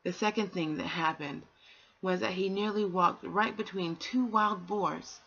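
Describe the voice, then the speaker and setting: A woman's voice: lowish voice, measured pace, vocal fry, fairly bright tone, breathy edge. A woman reading and hosting a children's bedtime story, with a nurturing, encouraging way of addressing young listeners. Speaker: bedtime story reader and host. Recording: dull, lo-fi home recording.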